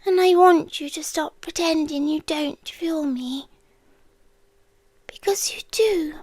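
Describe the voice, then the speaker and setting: An ancient, powerful female watcher played by a British woman speaks in a high, unhurried British voice. She is possessively devoted and intimate, often slipping into whispers. The ASMR recording is close and very dry, with each phrase placed deliberately. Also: darkish tone, dry recording. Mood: yearning, intimate. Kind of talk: ASMR roleplay, scripted intimate monologue. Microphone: close microphone, dry.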